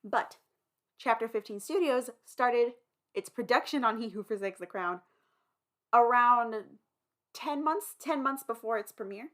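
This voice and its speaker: A woman's voice: on the high side, animated, a little slow, fairly bright tone. A woman speaking informally and emphatically to listeners as the host and creator of an audio drama. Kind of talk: casual chatty address to listeners.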